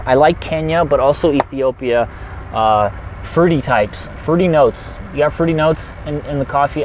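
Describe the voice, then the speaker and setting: A man's voice: fairly high pitch, a little hiss or hum, low-quality recording. A man who drives a truck for a living, chatting energetically on a livestream and reading out viewer comments. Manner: energetic, chatty, fast-talking.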